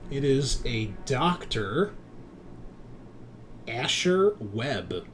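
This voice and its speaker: A man narrating a tabletop roleplaying game as game master, describing scenes in a halting, improvised way. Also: medium pitch, very animated, fairly bright tone. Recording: faint background noise.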